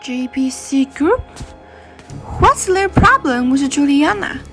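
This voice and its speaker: A young woman plays an inquisitive female investigator in a student radio drama. Her voice is high, her English has a Taiwanese accent, and music plays clearly behind it. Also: big pitch swings, slow pace, fairly bright tone, exaggerated voice. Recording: voice over clear background music.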